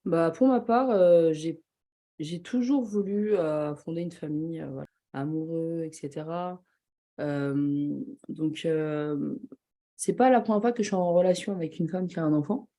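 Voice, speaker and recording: A woman in her thirties talks as a podcast guest with a mellow, dark tone. She speaks hesitantly, pausing on fillers. Her delivery is casual and unhurried. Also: low-pitched. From Paris region. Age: 30s. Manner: hesitant, slow, many fillers. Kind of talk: casual chat.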